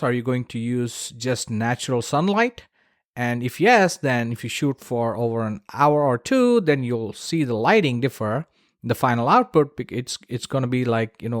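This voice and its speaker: A man's voice: mid-range voice, no echo, studio quality. A man hosting a solo podcast, explaining things conversationally with casual, emphatic asides to the listener. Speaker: podcast host.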